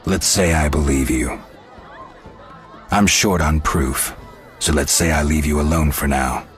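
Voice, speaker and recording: An actor playing a stoic, gruff monster hunter turned investigator in a video game, terse and flat in delivery. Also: male voice, rumbling low, a little slow, soft background music. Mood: cool, probing.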